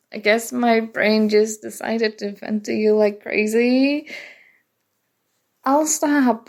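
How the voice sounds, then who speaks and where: A young woman playing an awkward, nerdy college student in an ASMR roleplay, flustered and halting, prone to self-deprecating rambling. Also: fairly high pitch, a little mellow. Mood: embarrassed, apologetic. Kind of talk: ASMR roleplay, acted casual conversation.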